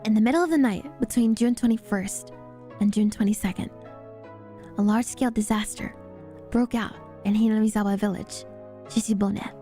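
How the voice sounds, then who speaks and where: A woman's voice: medium pitch, normal speed, mellow, soft background music. A woman VTuber reading scripted story narration aloud in a dramatic readalong style.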